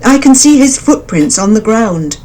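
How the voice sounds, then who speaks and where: A woman reading a storybook voices a small mother bird, fierce with grief and anger, in a high shrill voice. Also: big pitch swings, moderate pace, warm tone, a little hiss or hum. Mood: grieving.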